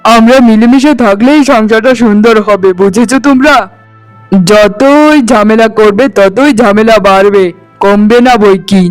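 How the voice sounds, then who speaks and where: Man playing a high-voiced, harried cartoon husband. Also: moderate pace, clear, resonant tone, soft background music, lightly acted. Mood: hopeful, pleading. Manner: complaining, pleading. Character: harried village husband of two quarrelling wives. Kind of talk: cartoon dialogue.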